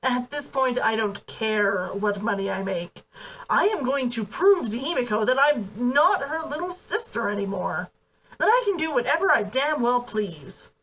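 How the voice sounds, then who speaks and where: Proud, high-voiced young woman played by a female narrator. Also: expressive, normal speed, a bit bright. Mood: defiant. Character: proud, defiant, playful young woman in a novel. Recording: distant from the mic.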